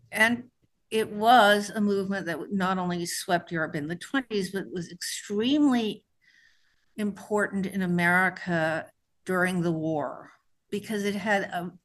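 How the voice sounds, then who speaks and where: A middle-aged woman author raised in New York, answering interview questions in a conversational way with frequent restarts. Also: lowish voice. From born in New Mexico, raised in New York.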